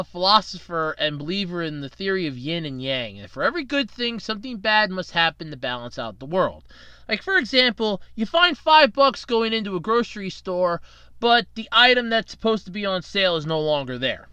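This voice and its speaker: A man's voice: high voice, bright, forceful tone, clear, resonant tone, no echo. A young man hosting a casual solo podcast, rambling and jokey while fighting a cold and tired from night shifts. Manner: rambling, chatty, self-deprecating, jokey. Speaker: podcast host.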